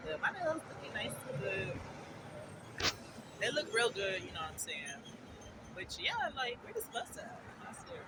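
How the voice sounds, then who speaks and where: Chatty young woman, lively street vlog. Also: mid-range voice, normal speed, long pauses. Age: 20s. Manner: chatty, keeps asking "you feel me", breaks into laughter. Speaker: vlogger. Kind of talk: casual chat to camera. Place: outdoors at a bus stop. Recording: outdoor street traffic noise.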